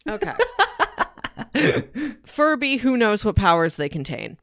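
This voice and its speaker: A woman's voice: medium pitch, sing-song, slow, gravelly, a bit bright, dry recording, clean studio sound. A woman co-hosting a podcast, talking casually about films with a conversational, playful storytelling manner. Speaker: podcast co-host. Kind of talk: casual film discussion.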